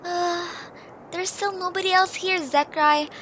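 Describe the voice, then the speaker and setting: A woman's voice: extremely high pitch, steady tone, unhurried, fairly bright tone. A woman voicing a small young female creature, curious, impulsive and a little impatient, in an amateur audio drama. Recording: noticeable background noise.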